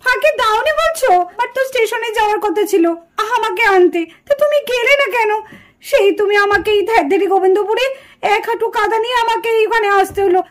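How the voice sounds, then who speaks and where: A woman's voice: very high voice, quick pace. A woman playing a vain, whiny young city woman in a puppet cartoon, gushing and pouting in an affected way with bits of English. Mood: pouty, gushing. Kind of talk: puppet cartoon drama acting.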